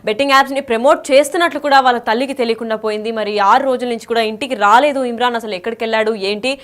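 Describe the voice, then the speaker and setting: A woman news anchor presenting live breaking news in a running, repetitive commentary, mixing in English words. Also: fairly high pitch.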